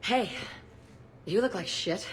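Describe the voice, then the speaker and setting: A woman's voice: mid-range voice, slow pace, slight background noise, lightly acted. An actress playing a brash, tough young woman in a video game, blunt and cocky. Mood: cocky, cheerful.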